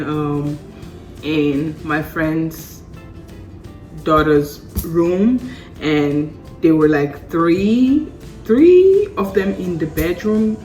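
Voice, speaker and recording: A young woman from Sierra Leone with a deep, low voice, made hoarse and rough by a lingering cold. She chats easily and animatedly to her followers, a little distant from the phone mic with faint music under her. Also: reverberant. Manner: chatty, lively and warm to viewers, often laughing. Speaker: vlogger. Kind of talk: casual talk to camera.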